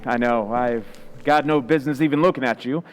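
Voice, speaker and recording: A man's voice: mid-range voice, a little fast, faint music behind, close to an everyday voice. A man playing a moody, weak and violent kept husband of a movie star, terse and intense, in an old-time radio suspense play. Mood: low, yearning. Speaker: actor in a community playhouse radio play.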